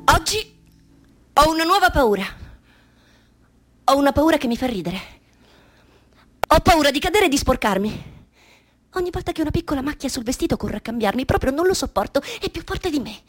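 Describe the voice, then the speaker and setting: A woman's voice: high voice, big pitch swings, brisk, long pauses, fairly bright tone. An actress playing a troubled thirteen-year-old girl confessing her fears in a theatrical radio drama monologue. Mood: anxious.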